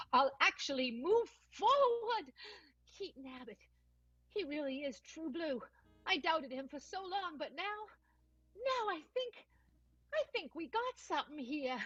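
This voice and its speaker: A woman's voice: high-pitched, no echo, lo-fi sound. A woman voicing a hopeful singer and actress in an improvised radio play, earnest and emphatic. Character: hopeful singer-actress finding her confidence.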